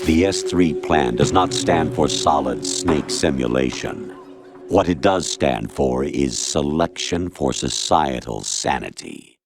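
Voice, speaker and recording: An actor playing a cold, condescending male artificial intelligence in a video game, lecturing with measured authority. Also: a bass voice, exaggerated voice. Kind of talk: dramatic game cutscene acting.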